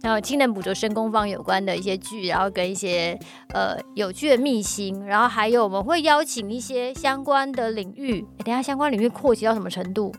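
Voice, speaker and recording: A woman's voice: medium pitch, brisk. A woman with a Taiwanese Mandarin accent hosting a casual podcast chat in a playful, teasing way. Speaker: podcast host. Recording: music playing underneath.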